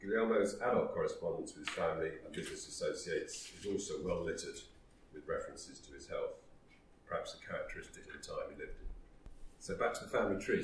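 A man with a very low voice gives a measured history lecture. He sounds set back from the microphone in a roomy, echoing space. A faint hum of room noise sits underneath. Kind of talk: historical talk. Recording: roomy echo, distant mic.

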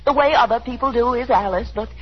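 A woman's voice: fairly high pitch, quick pace, breathy edge. An actress playing a fussy middle-aged mother with affectedly gracious, chattering manners in a 1930s radio drama, on a muffled noisy broadcast. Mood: gushing. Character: fussy, genteel middle-aged mother putting on social airs.

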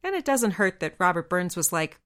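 A woman's voice: on the low side, very animated, a little fast, a bit bright, smooth. A woman hosting her own podcast, reading a scripted segment in an enthusiastic, lightly humorous storytelling manner. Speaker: podcast host.

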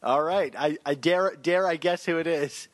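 A man's voice: on the high side, normal speed, no room sound. A man hosting a podcast in casual, easygoing chat. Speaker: podcast host.

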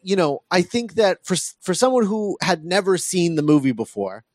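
A man with a fairly high voice talks through films as a podcast co-host. He circles back and restarts phrases as he goes, in a casual, halting manner. The sound is close and dry. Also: lively intonation, dry recording. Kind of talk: casual film discussion.

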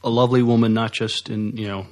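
A man co-hosting a podcast in casual news chat, with a halting, hesitant delivery. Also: lowish voice, flat delivery, darkish tone. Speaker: podcast co-host.

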